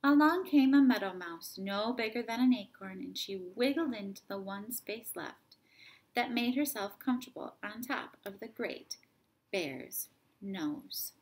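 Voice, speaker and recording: Middle-aged female story time host, mid-pitched, expressive picture book read-aloud for little kids. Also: a little slow. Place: indoors at home, sitting by a stone fireplace.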